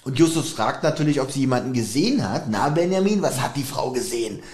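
A man's voice: mid-range voice, lively intonation, quick pace, darkish tone. A man co-hosting a casual podcast, laughing often as he retells and jokes about a radio play episode. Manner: giggly, joking, retells the plot. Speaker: podcast co-host.

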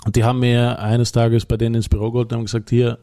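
Mellow Dutch-accented man, middle-aged. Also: medium pitch, monotone, fast. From Netherlands. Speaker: interview guest, company founder. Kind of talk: conversational interview answers.

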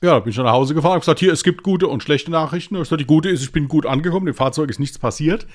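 Chatty German man, slightly high voice. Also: rapid-fire. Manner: rambling storyteller, laughs at his own stories. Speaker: podcast co-host. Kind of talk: casual chat, anecdote telling.

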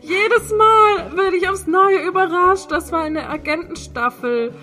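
A woman in her twenties co-hosting a podcast in casual chat, giggly and excitable. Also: extremely high pitch, unhurried, over music. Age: 20s. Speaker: podcast co-host.

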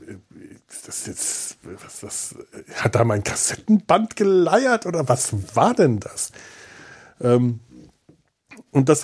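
A man speaking casually as a podcast host, thinking aloud in a halting way and repeating words as he searches. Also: medium pitch, mellow, slightly rough.

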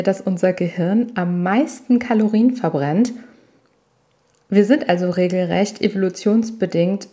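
Low-pitched, mellow woman explaining to listeners. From Italy. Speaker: weight-loss coach and podcast host. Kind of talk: explanatory solo podcast talk.

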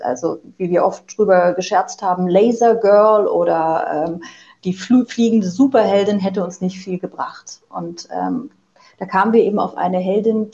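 A woman's voice: medium pitch, gravelly, darkish tone. Woman explaining, on slightly dull audio. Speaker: interview guest, radio play author. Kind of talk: conversational explaining.

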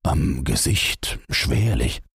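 A man's voice: rumbling low, very animated, very slow, creaky, fairly bright tone, breathy edge, no echo, studio quality, voice pushed down, exaggerated voice. A man voicing an old peasant farmer who is weary and stern. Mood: patient.

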